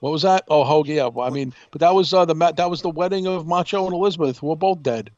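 A man's voice: a little high, fast talker, darkish tone, dry recording, lo-fi sound. A man co-hosting a podcast in casual talk, speaking emphatically and repeating himself for effect. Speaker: podcast co-host.

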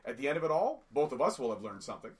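A man co-hosting a casual podcast, raspy from a cold, talking at length in an animated, rambling way. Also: mid-range voice, rapid-fire. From Canada. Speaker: podcast co-host. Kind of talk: casual wrestling podcast chat.

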